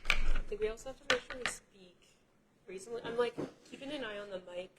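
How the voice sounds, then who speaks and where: A soft-spoken woman chatting casually while painting in a class. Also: fairly high pitch, quick pace, noisy background. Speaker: art class participant.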